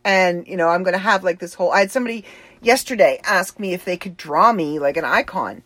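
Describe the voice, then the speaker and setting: A woman's voice: fairly low pitch, fairly quick, fairly bright tone, dry recording. A woman with a Boston accent giving a rambling, chatty personal update, laughing easily as she talks. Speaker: author and livestream host.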